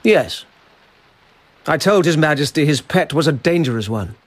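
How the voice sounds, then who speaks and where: An actor playing a proud, cultured court lord in a radio drama, speaking in a formal, restrained and disdainful way. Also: male voice, on the high side, expressive, normal speed. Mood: guarded, disdainful.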